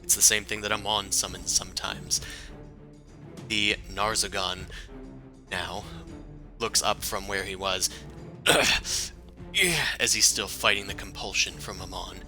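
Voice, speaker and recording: A man narrating as game master in a tabletop game, chatty and relaxed with many fillers. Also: lowish voice, takes it slowly, pauses often, soft background music.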